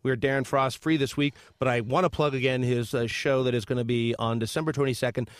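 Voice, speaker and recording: A man hosting a radio talk show from a studio, rambling along with plenty of fillers and restarts. His pitch sits in an ordinary middle range. The sound is close and dry. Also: fairly even intonation, quick pace, no echo.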